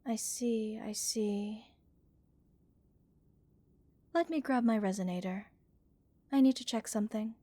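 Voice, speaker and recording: A woman acts out a gentle female alien scientist in an ASMR audio roleplay. She speaks slowly and softly in a mellow, slightly high voice, measured and reassuring, with a curious clinical manner. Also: no room sound. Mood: attentive, clinical. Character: gentle, curious female alien researcher examining a captured human. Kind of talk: soft-spoken ASMR roleplay acting.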